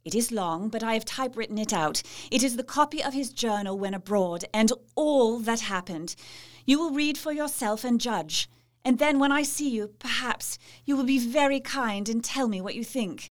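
Casting: woman for devoted young Victorian wife and diarist in gothic audio drama; lightly high pitch, polite, sincere, formal diction. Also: moderate pace, natural voice. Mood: grateful, earnest. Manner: proper, formal, heartfelt. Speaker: actress narrating and playing dialogue.

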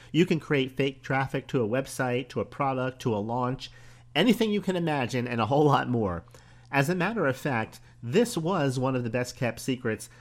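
Hoarse man hosting a solo podcast. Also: medium pitch. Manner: conversational, musing aloud with pauses and small laughs. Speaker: podcast host.

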